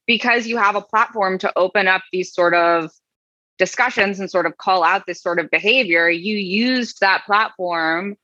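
Wry, bright-voiced woman podcast host. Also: medium pitch, normal speed, no echo, dull audio. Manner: wry, dry reading with asides. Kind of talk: casual podcast chat, reading comments aloud.

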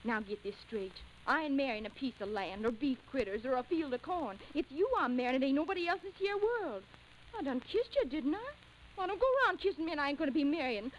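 A feisty young mountain sweetheart from an old-time radio drama. She has a high voice and a folksy rural Tennessee drawl, on a noisy vintage recording. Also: expressive, fast, lo-fi sound. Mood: indignant. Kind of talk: 1940s radio drama acting.

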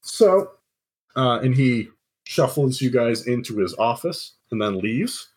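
A man with a husky voice acts as game master, narrating in a loose, conversational way. His pitch moves around a lot, and his language is informal and sometimes salty. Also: sing-song, slow, a little mellow. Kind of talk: casual narration of a tabletop game.

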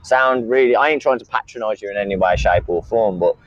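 A man's voice: fairly low pitch, brisk, dry recording. Caller in his thirties, loose and rambling, dry and muffled line. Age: 30s. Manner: rambling, repeats words, many fillers. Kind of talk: casual call-in chat.

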